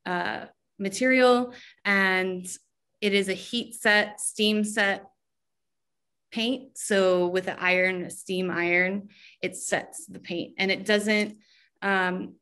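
A young woman designer on a video call from home, talking slowly and searching for words with frequent restarts. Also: medium pitch, steady tone, bright, forceful tone, smooth. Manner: slow, searching, with many restarts. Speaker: fashion designer. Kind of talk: relaxed video call talk. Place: home room with painted backdrop.

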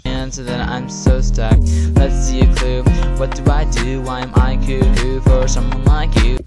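A young man singing an amateur song slowly in a low, flat voice over a backing track. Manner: low, flat, slow sung delivery. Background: loud guitar backing track.

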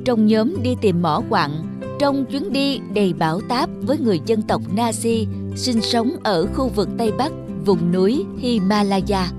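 A woman performing narration and dialogue for an animated moral story. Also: fairly high pitch, a little slow. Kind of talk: dubbed cartoon dialogue and narration. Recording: music bed under the voice.

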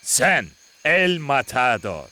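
A man's voice: mid-range voice, big pitch swings, slow pace, bright, forceful tone, exaggerated voice. A man voicing a commanding, proud football coach in a cartoon, emphatic and instructive. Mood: instructing. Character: commanding, proud male football coach, demanding but fatherly. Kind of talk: cartoon dub acting.

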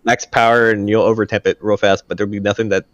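A man's voice: fairly low pitch, flat delivery, fast talker, no room sound, highs cut off. A man who works as a Marine aircraft mechanic answers technical questions in a plain-spoken, casual way over a video call.

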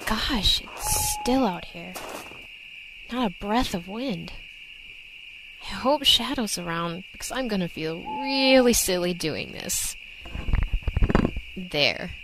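A woman plays a kindly, self-conscious soul musing to herself in a fan radio drama. She talks slowly and expressively over clearly noisy ambience. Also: medium pitch, lively intonation. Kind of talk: amateur radio drama acting.

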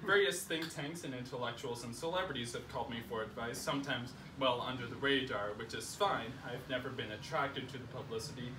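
A young man reading a humorous essay aloud in a projected, deliberate, mock self-important way. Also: mid-range voice. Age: young adult. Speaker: reader at a home literary salon. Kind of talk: live reading of a humorous first-person essay. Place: living room, indoors, small seated audience. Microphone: distant camera microphone. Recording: roomy echo, distant camera mic, faint noise.

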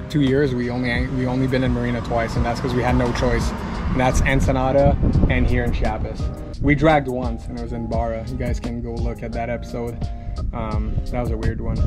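A Canadian man in his thirties with a laid-back, slangy, sweary way of talking, chatting casually to camera as a sailing vlogger. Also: medium pitch. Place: outdoors in a boat yard among hauled-out boats.

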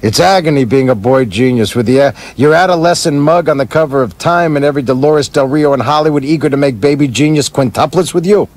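An actor in a radio play as a bitter, boozy screenwriter, brash, profane and sardonic, always needling. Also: male voice, on the high side, quick pace, few pauses, darkish tone, close to an everyday voice. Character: bitter, boozy, wisecracking male screenwriter with broken leg.

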